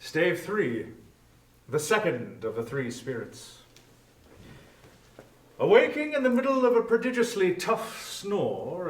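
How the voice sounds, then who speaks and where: A man delivers measured, formal storybook narration. His voice sits slightly high, and it sounds a little distant from the microphone with an echoing room around it. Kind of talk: scripted dramatic storybook narration. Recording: roomy echo, distant microphone.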